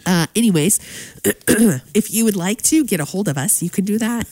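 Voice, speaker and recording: A woman's voice: medium pitch, animated, normal speed, a little mellow, no echo. Chatty woman with a head cold. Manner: chatty, laughs often, self-mocking. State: congested with a cold. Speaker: radio talk show co-host. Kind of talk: casual on-air chat. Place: radio studio. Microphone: studio microphone.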